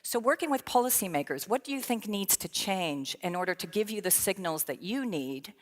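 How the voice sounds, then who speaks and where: A woman's voice: medium pitch, expressive, brisk. A middle-aged woman from Belgium with an American accent, moderating a panel on stage with emphatic, engaged questions. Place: conference stage in a large hall. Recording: long hall reverb.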